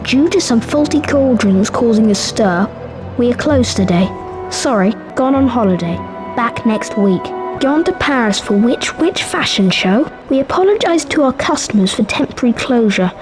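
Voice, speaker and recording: Casting: woman as game shopkeeper giving formal notices, fairly high voice, music underneath. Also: animated, moderate pace, natural voice, rough recording. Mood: matter-of-fact. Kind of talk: scripted game announcements. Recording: music behind the voice.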